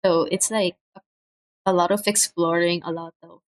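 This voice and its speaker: A young woman from the Philippines, soft-spoken and hesitant, chatting casually on a home livestream through a cheap mic. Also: lowish voice, fairly even intonation, moderate pace, no room sound. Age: young adult. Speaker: streamer. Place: home room. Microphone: cheap desk mic.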